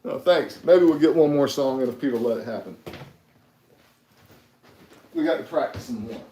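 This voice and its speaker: A man's voice: medium pitch, animated, moderate pace, mellow, reverberant, slight background noise. Laughing, stammering podcast co-host man. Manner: laughs a lot, stammering restarts. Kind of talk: casual chat.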